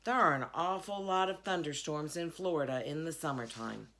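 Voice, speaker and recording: A woman voicing a bewildered preacher father with a deliberate, explanatory way of talking in a storybook. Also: deep voice, a bit bright. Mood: calm, explaining. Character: bewildered, measured preacher father of a young girl.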